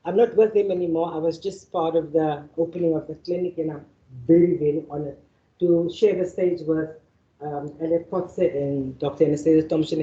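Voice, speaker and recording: Woman, Afrikaans mother tongue, lowish voice, mellow tone, reads formal remarks with restarts, roomy hall. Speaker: panel facilitator. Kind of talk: formal speech and speaker introductions. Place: university lecture venue. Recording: echoey hall, dull sound.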